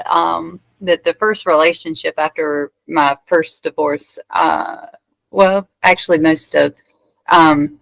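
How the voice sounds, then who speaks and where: A middle-aged woman talking casually as a client in a reading, hesitant, with many pauses and restarts. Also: low voice, gravelly, a bit bright, no room sound, poor audio. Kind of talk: casual conversation.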